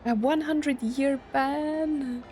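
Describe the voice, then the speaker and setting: A woman's voice: high-pitched, fairly even intonation, extremely slow, darkish tone, faint music behind. A woman hosting a gaming video, giving casual commentary and reactions as she plays through.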